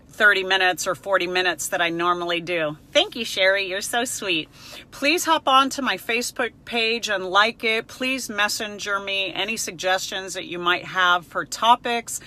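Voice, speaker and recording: Chatty middle-aged woman with a bright voice. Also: medium pitch. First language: Spanish. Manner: chatty, personable, emphatic, frank. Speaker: counselor and live-stream host. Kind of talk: casual live-stream chat answering viewers.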